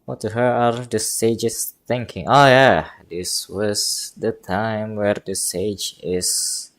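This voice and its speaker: A woman giving casual live gameplay commentary, halting and repeating words as she thinks aloud. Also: a bass voice. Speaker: game streamer.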